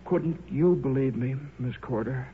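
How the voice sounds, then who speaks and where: An actor playing a meek but stubborn, socially awkward scientist, halting and polite, in 1950s radio drama style. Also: male voice, medium pitch, lightly acted. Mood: awkward, earnest. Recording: tinny old radio with faint noise.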